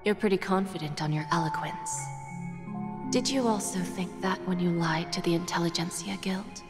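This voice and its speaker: An actress playing a poised, seductive businesswoman in a video game, languid and breathy as she toys with someone. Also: fairly low pitch, moderate pace, natural voice. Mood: teasing menace. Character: poised, seductive, powerful businesswoman toying with a prisoner. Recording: reverberant, with music underneath.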